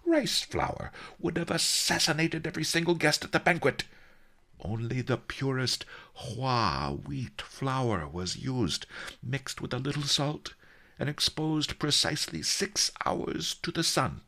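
A man's voice: medium pitch, animated, takes it slowly, gravelly, sharp tone, pitched above the performer's own voice, heavily acted. A man voicing a cunning, indignant old great-grandfather in a storybook, given to theatrical huffing and wailing. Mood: huffy. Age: older. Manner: theatrical, huffy, sobbing outbursts.

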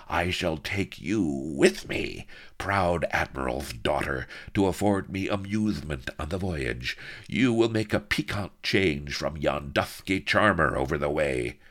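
A man's voice: deep voice, a bit bright, pitched above the performer's own voice. A man voicing a bombastic, bloodthirsty Spanish villain lord in an adventure story, with pompous, bellowing, theatrical ranting.

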